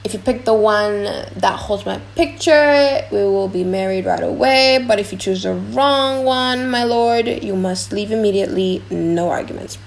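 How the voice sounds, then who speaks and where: A woman voicing a composed, wry young noblewoman in a dramatic audiobook, with measured, formal delivery. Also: mid-range voice, vocal fry, natural voice, low-quality recording.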